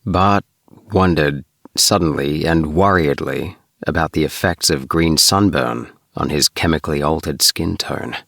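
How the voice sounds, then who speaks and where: A middle-aged man narrates a story at a very slow pace. His voice sits low, and the recording is dry and close, suited to a bedtime audiobook. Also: vocal fry, fairly bright tone, no room sound. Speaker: amateur audiobook reader. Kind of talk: scripted story narration for sleep.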